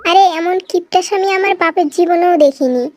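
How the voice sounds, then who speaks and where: A woman's voice: very high voice, fairly quick, background noise. A woman playing an aggrieved, scolding young wife in a comic cartoon, with a rural Bangladeshi accent. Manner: scolding, wailing, complaining. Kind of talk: melodramatic comic cartoon dialogue.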